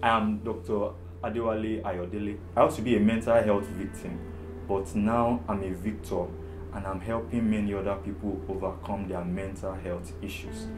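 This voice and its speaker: A man from Africa, a therapist, giving an earnest personal testimony in a loud, strong voice and repeating phrases for emphasis. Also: on the low side, little pitch movement, moderate pace. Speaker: problem management therapist. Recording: roomy echo with guitar music behind.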